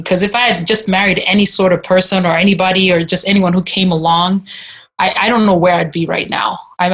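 A woman's voice: lowish voice, a bit bright. Hesitant woman in her forties. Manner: hesitant, many restarts and fillers. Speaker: discussion participant. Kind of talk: personal reflection in a group video-call discussion. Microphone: video call.

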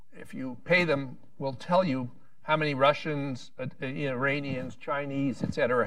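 A man's voice: mid-range voice, a bit bright, no echo, recorded from a distance. A man speaking on a policy panel, rambling with frequent restarts and wry asides.

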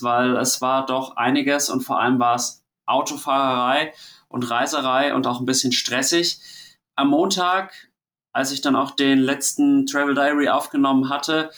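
A man from Germany talks through his travel diary in a loose, rambling way, and he has a cold and sounds worn out. His voice sits at an ordinary middle pitch. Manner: relaxed, rambling, chatty storytelling. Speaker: host of a travel diary podcast. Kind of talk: casual spoken travel diary.

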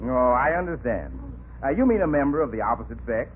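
Guy playing a proper polite club owner, animated mid voice, tinny old radio comedy. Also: mid-range voice, fast talker, a little hiss or hum, lightly acted. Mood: courteous. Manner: courteous, proper. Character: polite, formal male club proprietor. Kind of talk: 1940s radio comedy acting.

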